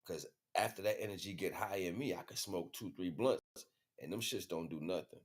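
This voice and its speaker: A man's voice: sits low, normal speed. An American man speaking in a casual, rambling livestream monologue, slangy and repetitive, often checking that listeners follow. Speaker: livestream host.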